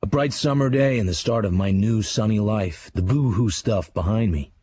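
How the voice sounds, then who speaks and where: An actor playing a hard-edged crime writer narrating his dark memoir in a sardonic, clipped manner for a radio drama. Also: fairly low pitch, normal speed, breathy edge. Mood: sardonic, cool.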